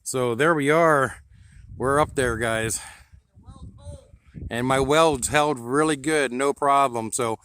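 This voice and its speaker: A man's voice: mid-range voice, slow, long pauses, a bit bright, clean tone. An American man narrating a hobby vlog in a casual, plain-spoken, informal way. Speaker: radio hobbyist vlogger.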